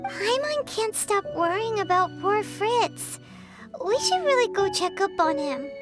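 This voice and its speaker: A woman's voice: very high voice, big pitch swings, unhurried, loud music behind the voice, heavily acted. A woman voicing a small, childlike fairy companion in a video game, chirpy, blunt and inquisitive. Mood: curious, concerned. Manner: refers to self in third person, exclaiming.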